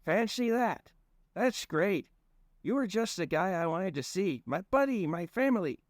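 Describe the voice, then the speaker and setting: A man's voice: high voice, expressive, a little slow, no echo, clean studio sound, pitched above the performer's own voice. A man voicing a shifty, rambling male werewolf cousin with a put-on folksy accent. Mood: excited. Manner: folksy rambling, keeps saying "don't you know".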